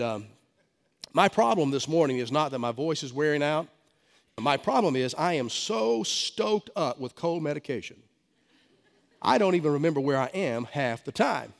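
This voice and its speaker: Stuffed-up preacher man in a reverberant hall. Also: on the high side. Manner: emphatic preaching with frequent stammered restarts. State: stuffy head cold. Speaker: pastor. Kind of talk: sermon. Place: church sanctuary.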